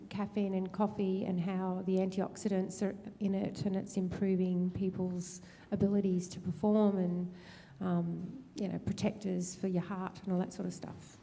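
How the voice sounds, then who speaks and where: Dark, flat woman's voice in a hall. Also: mid-range voice, vocal fry, slight background noise. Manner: flat and rambling with fillers. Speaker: audience member asking a question. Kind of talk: casual question from the floor. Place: church hall, handheld mic. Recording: echoey hall, faint ambience.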